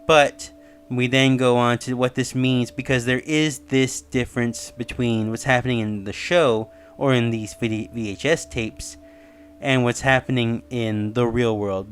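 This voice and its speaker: A man's voice: mid-range voice, fairly even intonation, normal speed. A man giving casual, rambling explanatory commentary as the host of a theory video. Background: music under the voice.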